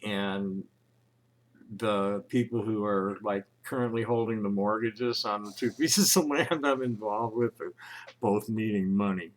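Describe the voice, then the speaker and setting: A man making a casual phone call, talking informally in a rambling, halting way. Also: on the low side. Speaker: caller.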